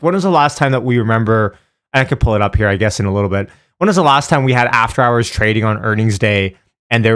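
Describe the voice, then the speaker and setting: A man's voice: medium pitch, fairly bright tone. Man hosting a casual live stream. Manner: informal, chatty, addresses viewers by name. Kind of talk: casual live stream chat and stock options commentary.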